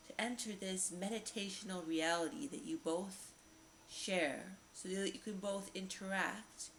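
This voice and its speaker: A woman leads a guided visualization at a medium pitch, lingering over phrases and repeating them soothingly. Her voice rings with noticeable room echo, and a faint layer of noise sits behind it. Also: a bit bright. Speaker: guided meditation host. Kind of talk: guided meditation visualization narration.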